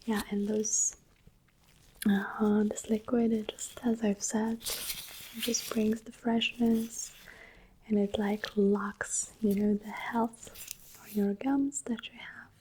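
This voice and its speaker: Woman, ASMR medical roleplay, extremely slow pace, soft breathy half-whisper, gentle reassurance, close-up mic, faint background noise. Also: medium pitch, level tone, poor audio. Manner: gentle, reassuring, unhurried, softly checking with okay. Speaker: ASMR performer playing medical specialists in roleplay. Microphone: close-up microphone.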